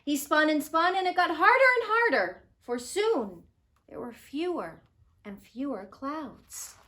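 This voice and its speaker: A woman reads a children's story aloud with expressive, dramatic phrasing. Her voice is bright and fairly high, and she takes her time over the words. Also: measured pace. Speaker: storybook reader.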